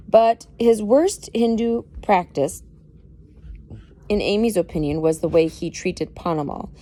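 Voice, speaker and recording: A woman's voice: lowish voice, creaky, warm tone, slight background noise. A woman reading a book aloud expressively, with dramatic emphasis and informal explanatory asides. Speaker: parent reading aloud to children.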